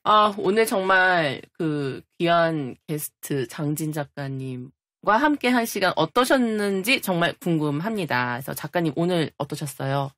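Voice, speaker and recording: Woman podcast host, effusive, animated intonation, lowish pitch, casual chat. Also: unhurried, hardly any pauses, no room sound, clean studio sound. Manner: effusive, exclamatory.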